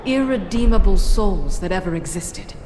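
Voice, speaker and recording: An actress playing a grave, weary but resolute captive sorceress in a dramatic video game scene. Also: female voice, medium pitch, lively intonation, normal speed, background noise, natural voice. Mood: grim. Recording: loud music over the voice.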